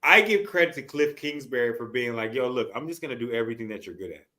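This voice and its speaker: Bright-voiced man hosting a sports podcast. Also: mid-range voice, lively intonation, fast. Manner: slangy, profane. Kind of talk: casual sports chat.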